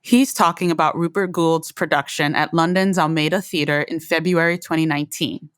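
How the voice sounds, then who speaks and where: Female host for scripted podcast narration. Lower register, very dry recording. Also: fairly even intonation, normal speed, smooth, dry recording.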